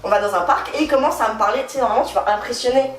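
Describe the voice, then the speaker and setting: A young woman casually telling an anecdote in an animated, slangy way, mixing English phrases into her French. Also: a little high, racing. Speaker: guest on a talk show. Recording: roomy echo, distant mic, background music.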